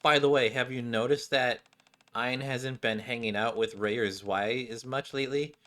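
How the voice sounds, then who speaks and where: A man's voice: medium pitch, steady tone, moderate pace, pushed and bright, close to an everyday voice. Man voicing a nosy, gossiping schoolgirl. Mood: irritated, gossipy. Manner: gossiping, casual.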